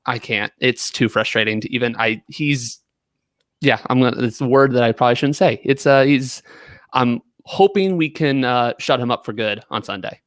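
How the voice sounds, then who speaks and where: A Midwestern American man with a middle-pitched voice talks soccer. He is exasperated and keeps breaking off his sentences. The recording is dry. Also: a bit bright, no echo. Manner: exasperated, trailing off mid-sentence. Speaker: co-host. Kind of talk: casual sports talk.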